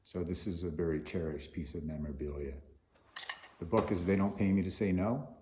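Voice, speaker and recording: A man's voice: deep voice, fairly quick, noisy background. A man telling stories from his working life in film, partly reading aloud from his own memoir. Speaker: film property master and author. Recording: roomy echo.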